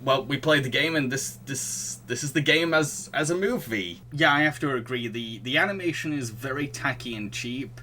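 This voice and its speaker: A man's voice: mid-range voice, moderate pace, few pauses, pushed and bright, slight background noise. A man hosting a casual podcast discussion, often chuckling as he talks. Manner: chuckles often while talking. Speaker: podcast host.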